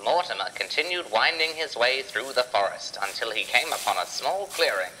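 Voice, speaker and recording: Very bright, forceful male narrator. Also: mid-range voice, expressive, normal speed, big-room sound, a little hiss or hum, rough recording. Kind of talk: dramatic scripted adventure-serial narration. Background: music.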